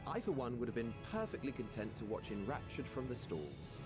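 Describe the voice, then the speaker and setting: An urbane gentleman spirit from a fantasy game, genial and playful. He speaks eloquently at a medium pitch, with music clearly behind him. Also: wildly swooping pitch, fairly quick. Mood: amused, playful. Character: genial urbane robed shade, amused theatre-lover. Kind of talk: dramatic video game cutscene acting.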